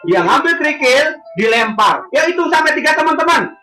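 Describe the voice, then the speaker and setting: A woman telling religious stories to children in an animated way, questioning them and repeating phrases. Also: high voice, fairly quick. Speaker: children's storyteller with puppet. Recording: echoey room, loud music bed.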